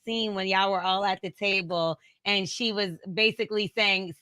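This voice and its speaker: Woman, interviewer, chatty and probing, sharp bright tone, dry sound. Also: mid-range voice, fairly even intonation, moderate pace, clean tone, dry recording. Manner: chatty, laughing, probing questions. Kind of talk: casual interview chat.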